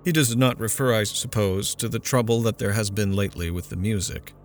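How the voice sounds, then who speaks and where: A man's voice: fairly low pitch, fairly quick, soft background music, natural voice. Placid female friend, lifted voice, mildly puzzled; a male narrator voices her. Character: puzzled, bland woman friend.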